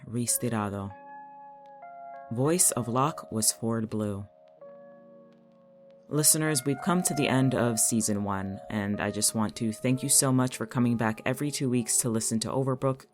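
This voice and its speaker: A man's voice: mid-range voice, normal speed. A man, the writer of a podcast, reading scripted end credits and thanking listeners. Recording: music playing under the voice. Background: music.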